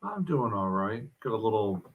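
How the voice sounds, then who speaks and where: A man who is a guest on a talk show, explaining things thoughtfully with many pauses and fillers. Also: lowish voice, big pitch swings, phone-quality sound. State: mild headache. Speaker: guest commentator. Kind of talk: casual discussion.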